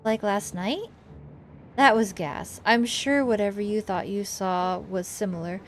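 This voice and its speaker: A woman's voice: medium pitch, unhurried, soft background music, natural voice. Woman acting prickly, exasperated scolding cousin. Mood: dismissive, irritated. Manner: scolding, sarcastic. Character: prickly, exasperated female cousin, anxious and scornful.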